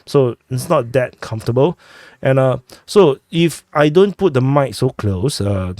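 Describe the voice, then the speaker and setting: A man who is sick, hosting a war update and walking through a map, talking haltingly with many fillers and restarts on a close mic. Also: medium pitch, expressive, a little mellow, no echo. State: sick, clearing throat. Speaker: war news host.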